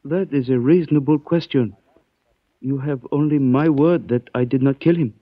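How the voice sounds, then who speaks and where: An actor playing a suave, menacing older Chinese man in a 1940s radio mystery. He speaks formally and politely, in a measured way, and likes proverbs. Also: mid-range voice, no room sound, natural voice. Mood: calm menace. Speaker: mystery character in a radio drama. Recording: dull, dry old radio recording.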